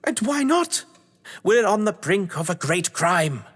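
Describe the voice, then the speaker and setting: Actor playing a florid, theatrical British manor lord, echoing. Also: male voice, sits high, big pitch swings, a little slow, fairly bright tone, husky, full character voice. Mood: excited, theatrical. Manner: florid, pompous, playfully grandiose. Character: theatrical, whimsical, scheming English crime-novelist lord of the manor. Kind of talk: stage-thriller audio drama acting. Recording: long hall-like reverb.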